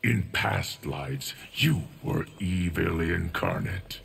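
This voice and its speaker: A man playing a stoic, ancient guardian of time in a video game, speaking formally and gravely with a gravelly voice. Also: expressive, very noisy, heavily acted. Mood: solemn, measured. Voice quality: deep, gravelly. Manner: slow, measured, formal. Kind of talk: scripted game dialogue.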